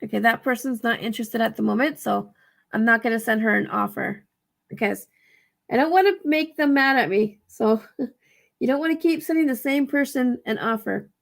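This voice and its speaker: A woman with a fairly high voice and some creak in it walks viewers through a task. She sounds raspy, tired and dry-throated. Her delivery is casual and rambling, with thoughts said aloud and corrected as she goes. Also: moderate pace. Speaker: vlogger giving reselling tips. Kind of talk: casual screen walkthrough tutorial.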